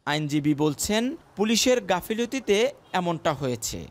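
A man narrating a television news report in a measured way, with sentences that fall at the end. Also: high-pitched, lively intonation. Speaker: field reporter.

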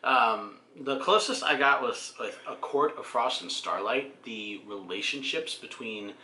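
A man in his forties talking casually about books as a co-host, in a halting, wry way. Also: mid-range voice, animated, pushed and bright, slight background noise. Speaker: book vlogger, co-host. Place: small room at home. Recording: roomy home video sound.

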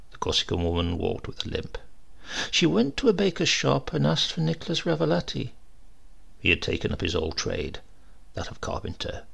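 A man reading a classic short story aloud in a measured, dramatic storytelling manner. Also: mid-range voice, creaky. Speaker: narrator.

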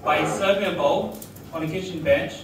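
Young male stand-up, high-ish voice, deadpan delivery, live bar recording from far back. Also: fairly quick, background noise. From Australia. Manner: deadpan one-liners with laughing asides. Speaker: stand-up comedian. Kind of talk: stand-up comedy set. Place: small restaurant bar, live audience. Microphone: handheld mic, filmed from far back. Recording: echoey room from far off, loud crowd.

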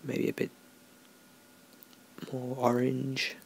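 A man talking through a software tutorial in a relaxed, unscripted way, thinking aloud as he works. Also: medium pitch, very slow, gravelly, no echo, poor audio. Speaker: tutorial host. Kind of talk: screen-recorded software tutorial.

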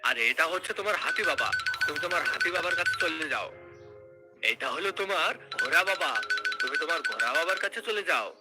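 A helpful cartoon guide played by a man explains in repeated, simple phrases. The male voice is somewhat high, with loud music behind it. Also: animated, fairly quick, close to an everyday voice. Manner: repetitive, explanatory. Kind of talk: cartoon dialogue, instructional.

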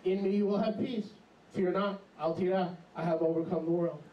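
A middle-aged man preaching an animated, emphatic sermon into a handheld mic in a small congregation hall. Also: high voice, normal speed, highs cut off. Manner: animated, emphatic, jumps into mocking voices. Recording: echoey hall, camera far off.

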